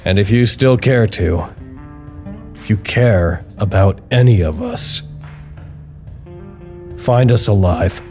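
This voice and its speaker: An anxious, wry office worker. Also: male voice, fairly low pitch, slow pace, long pauses, music in the background. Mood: rueful. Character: anxious, earnest office man recording confessional reports to his superiors. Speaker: lead actor in an audio drama. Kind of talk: audio drama monologue, intimate log narration.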